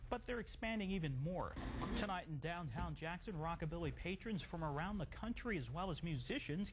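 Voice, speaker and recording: A man with an American accent reading scripted local TV news as an anchor and reporter. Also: fairly high pitch. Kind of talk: scripted local TV newscast delivery. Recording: noticeable background noise.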